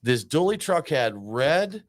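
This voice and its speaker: A man's voice: a little high, very animated, slow pace, no room sound. A man, co-hosting a beer show and bantering casually in a studio. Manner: joking, laid-back banter. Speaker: podcast co-host. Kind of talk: casual chat.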